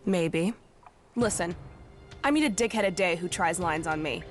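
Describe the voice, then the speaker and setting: A woman's voice: on the low side, moderate pace, soft background music, close to an everyday voice. A woman playing a tough, hostile outlaw in a video game, curt and contemptuous. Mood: dismissive. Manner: curt, contemptuous, foul-mouthed.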